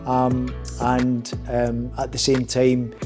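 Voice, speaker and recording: A man giving a documentary interview about a stage project, hesitant and pausing mid-sentence. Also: medium pitch, completely flat delivery. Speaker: project member discussing a stage play. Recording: faint guitar music bed. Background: soft guitar music.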